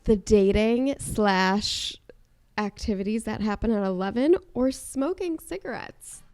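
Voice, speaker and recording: A young woman co-hosts a casual podcast. Her voice sits in a middle pitch, and she speaks in an upbeat, measured way. She hedges her answers before she commits to them. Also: a little mellow. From Chicago. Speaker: podcast co-host. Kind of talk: casual chat.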